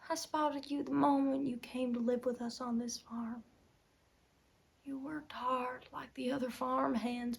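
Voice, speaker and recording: A woman's voice: a little high, vocal fry. A woman acting a desperate, tormented young farm wife in an intense dramatic film monologue. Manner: intense, swinging from whispers to anguished outbursts. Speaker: acting student.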